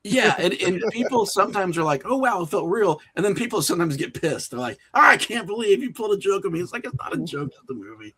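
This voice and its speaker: A man's voice: high voice, very animated, fast, hardly any pauses, pushed and bright, slightly rough. A man talking informally as an interview guest, telling anecdotes in a self-deprecating, conversational way. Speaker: podcast guest, indie filmmaker.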